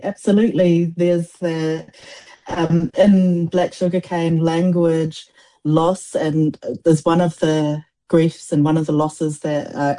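A woman in her forties, born in Samoa, whose first language is Samoan. She has a low, mellow voice and answers in a reflective, halting way, often restarting her sentences. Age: 40s. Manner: thoughtful, halting, many restarts and fillers. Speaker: poet, guest.

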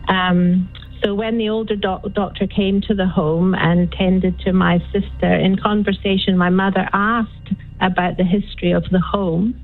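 Older scottish lady on a tinny phone line, chatty and slow-going, ums a lot, faint music under. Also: medium pitch. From Scotland. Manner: chatty, unhurried, hesitant with ums. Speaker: caller. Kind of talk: phone-in storytelling.

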